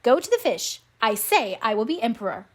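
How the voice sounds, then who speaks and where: A woman's voice: a little high, big pitch swings, fairly quick. A woman voicing a greedy, imperious fisherman's wife who insists on having her way in a storybook. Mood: imperious, impatient.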